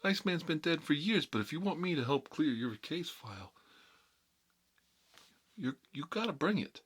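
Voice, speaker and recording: A man playing a cagey, cocky mob boss in an ASMR role-play, talking unhurried in needling tough-guy street talk. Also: on the high side, expressive, fairly bright tone, breathy edge. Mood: guarded, defiant.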